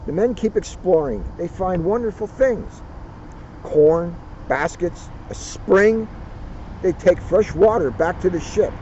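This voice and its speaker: A man reading a children's history book aloud outdoors, with deliberate, dramatic phrasing and casual asides. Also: sits high, warm tone. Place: outdoors at a turkey farm. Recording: muffled outdoor recording, faint vehicle noise.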